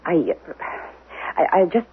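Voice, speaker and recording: An actress playing a timid, conditioned administrator in a futuristic radio drama, hesitant, stammering and apologetic. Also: female voice, on the low side, lively intonation, unhurried, a little hiss or hum. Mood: timid, flustered. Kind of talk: 1970s radio drama acting. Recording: muffled old radio broadcast.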